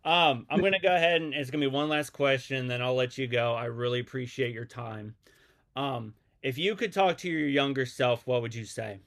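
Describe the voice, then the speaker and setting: A man's voice: medium pitch, normal speed, a bit bright. Easygoing male podcast host. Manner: friendly, enthusiastic, talks with filler phrases. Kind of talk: casual interview chat.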